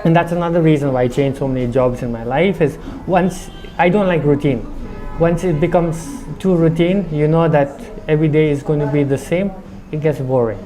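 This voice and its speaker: A man's voice: a little high, fairly even intonation, moderate pace, mellow, soft background music, poor audio. A man from India with a slight accent picked up abroad, answering interview questions about running his restaurant in a reflective, chatty way. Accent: slight foreign-tinged Indian English. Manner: reflective, talks in short run-on phrases with "right?". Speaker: restaurant owner.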